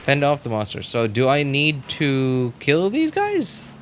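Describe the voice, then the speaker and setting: A man doing casual live gameplay commentary as a streamer, chatting to viewers in a halting way and muttering to himself as he plays. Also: medium pitch, wildly swooping pitch, slight background noise. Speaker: game livestreamer.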